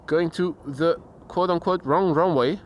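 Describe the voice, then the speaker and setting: High-voiced Dutch man commentating outdoors. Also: measured pace, slight background noise. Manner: chatty, reads chat aloud, imitates sounds. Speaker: plane spotting livestream host. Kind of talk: casual live commentary. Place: outdoors beside an airport. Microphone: handheld shotgun mic.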